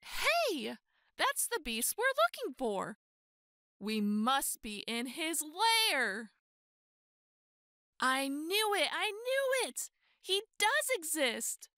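A woman reading a children's picture book aloud in an expressive, exclaiming way with drawn-out emphasis. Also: sits high, no room sound, studio quality. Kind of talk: animated children's read-aloud.

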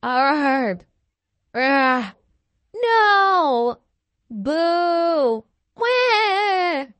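A woman plays a bragging cheese wheel from a storybook, high and swooping in pitch. The delivery is theatrical and melodramatic, with drawn-out emphatic words, on a dry, close recording. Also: sing-song, a bit bright, no echo, heavily acted. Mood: wailing. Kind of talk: expressive children's picture book read-aloud.

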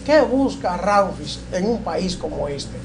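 A man's voice: sits high, sing-song, takes it slowly, gravelly, a little hiss or hum. An older man, hosting a TV interview and asking questions in a small studio. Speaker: interview host.

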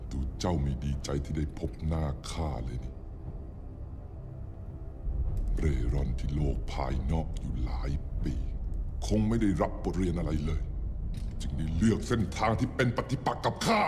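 A man voicing a proud, menacing male demon king in a Thai dub of an animated fantasy film, talking in a low, gloating, commanding way. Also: fairly quick. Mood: scornful. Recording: film mix with music and long reverb.

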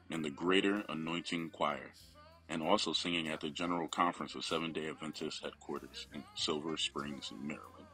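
A man's voice: extremely low pitch, sharp tone, rough recording. A man reading a scripted memorial biography of a family member.

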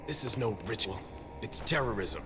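A cool young agent in an action video game, played by a man. He is terse, wry and determined, his pitch moving widely over background music. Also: animated, normal speed, lightly acted. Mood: defiant. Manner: terse, dry. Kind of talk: video game cutscene acting.

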